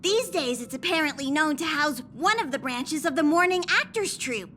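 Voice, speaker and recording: A woman's voice: high-pitched, animated, moderate pace, a bit bright. A woman voicing a small, cute mascot conductor in a video game, making earnest, official announcements. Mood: informative. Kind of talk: video game character dialogue. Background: music.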